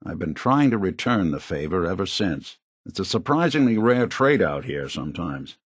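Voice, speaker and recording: A weary, kind-hearted robot gumshoe with a deep, husky voice, straight out of film noir. He talks in wry, folksy, hard-boiled slang, and the sound is close and dry, as game dialogue. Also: very animated, moderate pace, dry recording, exaggerated voice. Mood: wistful, reflective. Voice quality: gravelly, husky. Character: world-weary, kindly android noir detective, middle-aged male.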